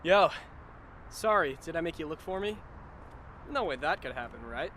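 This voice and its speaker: A man playing a wry, resigned high school boy in an anime fan dub, speaking in a dry, reflective way. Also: animated, moderate pace, pushed and bright, slight background noise. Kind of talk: anime fan dub dialogue and inner monologue.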